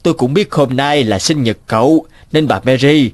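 A man's voice: mid-range voice, normal speed, dry recording, close to an everyday voice. A man playing a kindly middle-aged garage boss in a cartoon, considerate and plain-spoken. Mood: warm, encouraging. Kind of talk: cartoon dubbing. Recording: music behind the voice.

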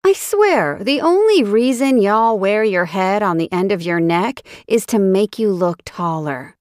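A woman's voice: mid-range voice, lively intonation, unhurried, dry recording, pitched above the performer's own voice. A woman voices a blunt, caustic woman who cuts a man down with sarcasm and a drawl. She sounds scolding and unimpressed, and the read is dry and close.

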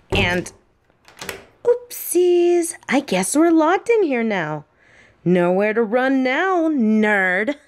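A woman playing a possessive, brash but secretly smitten bully girl in an audio roleplay, speaking straight to the listener in a bossy, halting way. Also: on the high side. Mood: bossy, taunting. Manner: bossy, taunting, flustered halting delivery.

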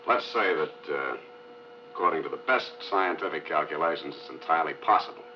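Very deep-voiced space pilot. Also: male voice, expressive, fairly quick, soft background music. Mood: calm, technical. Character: stoic, calmly confident space pilot hero. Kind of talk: 1950s science fiction film acting. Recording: muffled, noisy old film soundtrack.

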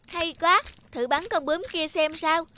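A woman voicing an anxious young boy, an eager grandson, in a children's cartoon with earnest, expressive delivery. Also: very high voice, normal speed, slight background noise. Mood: eager, playful.

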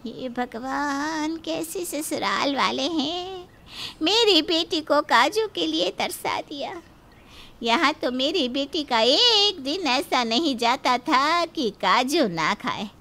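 A woman voicing a doting, pitying middle-aged mother in a cartoon family drama, fussing in a drawn-out way. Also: high voice, expressive, bright, forceful tone, full character voice. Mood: lamenting, consoling.

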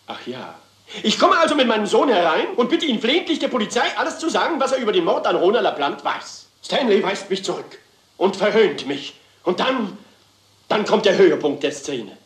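A man's voice: high-pitched, echoey room. A man playing a self-important, flamboyant theatre director with a grandiose, declamatory manner in a German crime comedy. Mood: impatient, bossy.

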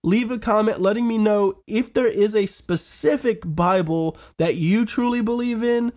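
A man's voice: high-pitched, moderate pace, darkish tone. A man in his thirties, nasal and congested with a head cold, giving casual commentary to camera as a host. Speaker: host of a reaction video show. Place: small room at home, indoors.

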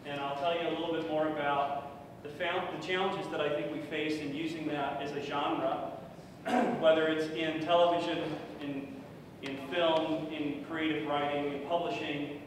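A middle-aged man with a slightly high voice gives a loose, chatty conference talk full of fillers. He is heard from some distance in a large echoey hall, with clear room noise behind him. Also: level tone, moderate pace, hardly any pauses. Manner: loud, chatty, with many fillers. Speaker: conference speaker. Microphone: podium microphone from far off.

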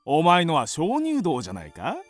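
A man's voice: a little high, huge pitch swings, normal speed, soft background music. An actor playing an easygoing husband and father in a radio drama, with a light-hearted manner. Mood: playful. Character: easygoing, joking family man, husband and father.

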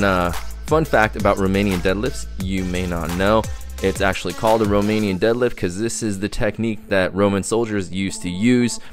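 A man in his thirties giving casual workout instruction in an upbeat coaching tone, from a living room and then a gym. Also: sits low. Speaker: fitness vlogger.

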